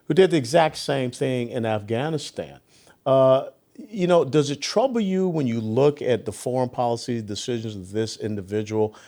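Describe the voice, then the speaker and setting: Low-voiced male interview host. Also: darkish tone. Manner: conversational, probing questions.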